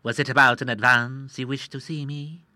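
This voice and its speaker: A man voicing a shrewd middle-aged moneylender who talks in a slow, measured, coolly persuasive way. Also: medium pitch, fairly even intonation, pushed and bright, dry recording.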